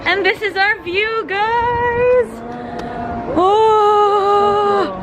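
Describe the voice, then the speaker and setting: High-voiced, excitable young Filipino woman vlogging. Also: drawn-out words, soft background music. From Philippines. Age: young adult. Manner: excitable, gushing, giggly. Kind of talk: casual vlog chat to camera. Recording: noisy vlog audio with loud chatter.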